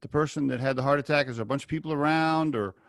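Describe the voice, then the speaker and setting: A man's voice: on the high side, very fast, no room sound. A man hosting a podcast interview over a video call, chatty and asking rambling questions. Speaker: podcast host. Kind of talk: casual interview.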